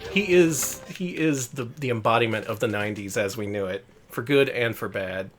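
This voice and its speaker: A woman's voice: a bass voice, moderate pace, faint music behind. A woman co-hosting a retro game stream, giving dry joking commentary. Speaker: stream co-host. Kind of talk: casual game stream banter.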